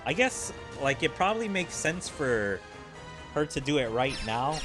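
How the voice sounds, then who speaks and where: A man's voice: fairly high pitch, very animated, a little slow, music in the background. A man streaming a game and giving casual commentary in rambling, hesitant explanations peppered with filler words. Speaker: gaming streamer.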